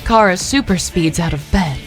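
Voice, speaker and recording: A woman's voice: fairly low pitch, lively intonation, normal speed, soft background music. A woman narrating an audio drama in a scripted, dramatic storytelling style.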